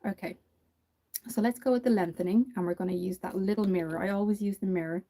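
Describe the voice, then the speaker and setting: A woman's voice: medium pitch, fairly even intonation, quick pace, mellow. A young woman from Dublin with an Irish accent, chatting away through a casual livestream makeup tutorial and answering viewer comments. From Ireland.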